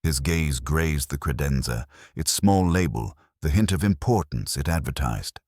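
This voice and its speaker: Very low-voiced man for detective audiobook narration; measured and formal, with lively swings in pitch. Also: no room sound, clean studio sound. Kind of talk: scripted detective story narration.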